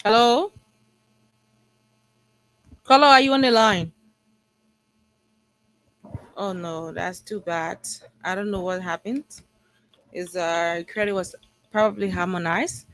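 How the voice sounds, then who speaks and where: A woman's voice: medium pitch, takes it slowly, pauses often, a bit bright, no room sound, rough recording. A woman with a Liberian accent hosting a live call-in talk show and giving commentary. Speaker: radio call-in show host.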